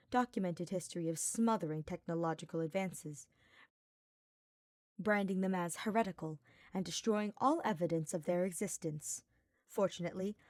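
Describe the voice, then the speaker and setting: A woman's voice: fairly low pitch, darkish tone, no room sound, clean studio sound. A woman reading a scripted story aloud as its narrator. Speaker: fan fiction podfic narrator.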